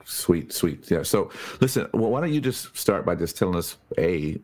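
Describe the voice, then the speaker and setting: A man hosting a casual interview, relaxed and chatty with lots of fillers. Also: low-pitched, normal speed. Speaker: podcast host.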